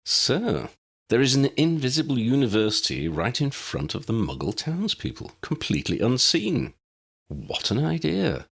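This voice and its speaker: A courteous, theatrical gentleman adventurer from a fantasy audiobook, put on by a man. He speaks in florid phrases, pitched up from a gravelly base, with big melodic swings. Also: sing-song, moderate pace, exaggerated voice. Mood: marvelling. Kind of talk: audiobook character dialogue.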